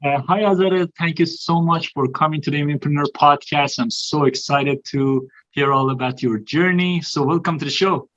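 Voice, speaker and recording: Friendly male podcast host, fairly high voice. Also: normal speed, few pauses, fairly bright tone, lo-fi sound, a little hiss or hum. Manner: friendly, filler-heavy questions. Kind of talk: podcast interview.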